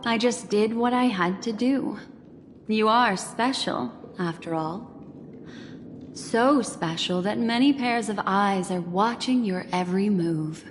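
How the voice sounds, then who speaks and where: Woman playing a slow, coaxing, mysterious lady in reverb. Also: medium pitch, slight background noise, close to an everyday voice. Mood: soothing, teasing. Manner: unhurried, coaxing, gently teasing. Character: poised, mysterious adult woman memory keeper, teasing and soothing. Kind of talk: video game voice acting. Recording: long reverb with clear background music.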